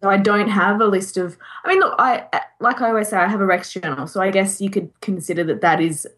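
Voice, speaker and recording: A woman's voice: mid-range voice, quick pace, a bit bright. A woman podcast co-host talking casually, restarting and rephrasing her sentences as she goes. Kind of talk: casual group chat.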